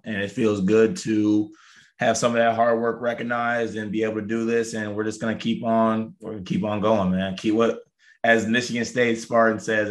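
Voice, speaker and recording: A man with a somewhat low, raspy voice talks on a podcast. He sounds hyped and playful and banters with his co-host. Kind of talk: casual podcast chat.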